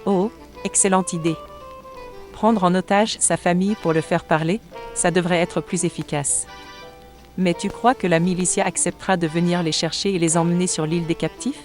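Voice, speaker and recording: A woman's voice: lowish voice, steady tone, normal speed, lightly acted. A woman playing a tough, familiar-talking Resistance member in a wartime audio drama. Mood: matter-of-fact. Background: music behind the voice.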